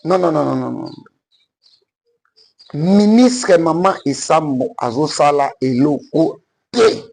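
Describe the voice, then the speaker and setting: Congolese guy, congolese french accent, heated political talk, highish voice, kinda husky. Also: animated, slow pace, darkish tone, rough recording. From Congo (DRC). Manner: emphatic, switches between French and Lingala. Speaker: political commentator. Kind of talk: political commentary.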